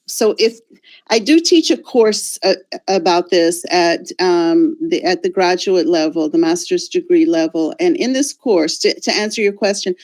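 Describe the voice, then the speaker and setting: A woman's voice: mid-range voice, a little mellow. A woman from the United States with an American accent, answering a question as a guest speaker at a conference, thoughtful and full of fillers and restarts, heard over a video call.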